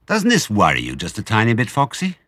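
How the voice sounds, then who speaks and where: A man voicing an excitable, respectable old badger in an audiobook, eager and then worried. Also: lowish voice, fairly quick, no echo, studio quality, exaggerated voice.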